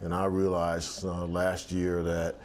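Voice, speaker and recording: A man's voice: sits low, completely flat delivery, takes it slowly. A man in his sixties, an athletic director, talking reflectively in a sit-down interview on an outdoor balcony, with many restarts and fillers. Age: 60s.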